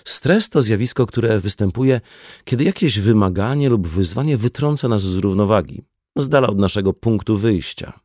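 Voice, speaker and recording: A man's voice: on the low side, animated, normal speed, a little mellow, no echo, studio quality. A man reading a conversational non-fiction audiobook as scripted narration. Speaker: audiobook reader.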